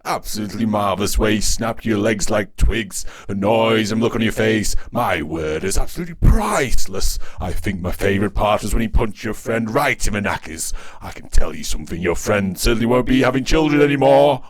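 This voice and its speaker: A man's voice: lowish voice, expressive, brisk, few pauses. A man voicing a posh, gleefully cruel demonic little terrier in a storybook, with a pompous, old-fashioned English accent. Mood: gloating delight.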